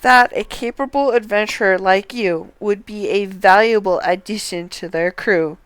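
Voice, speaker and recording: A woman streamer reading fantasy game dialogue aloud in a measured, deliberate way, close to the microphone. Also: medium pitch, fairly bright tone, clean tone, no room sound.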